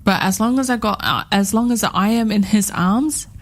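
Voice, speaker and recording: A woman's voice: medium pitch, normal speed. A woman in her thirties co-hosting a radio chat show, talking in a rambling, heartfelt way and repeating herself often. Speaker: radio co-host.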